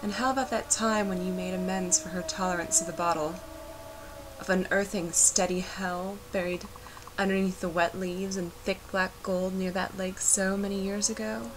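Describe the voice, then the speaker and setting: A woman reciting a poetic spoken-word narration for a video art piece, measured and reflective. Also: mid-range voice, fairly even intonation. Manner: measured, reflective, unhurried delivery. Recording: voice-over with clear background noise.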